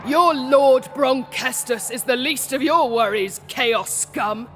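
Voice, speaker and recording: An actress playing a proud, righteous holy warrior in a fantasy game, solemn, commanding and declamatory. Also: female voice, sits high, slow pace, in a large hall, faint music behind. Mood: defiant. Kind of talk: dramatic fantasy game acting.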